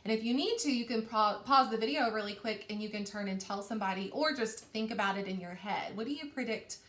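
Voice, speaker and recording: A woman's voice: medium pitch, fairly quick, fairly bright tone. A woman reading a storybook aloud to children like a teacher, expressively stretching key words and asking questions. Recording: roomy, echoing indoor sound.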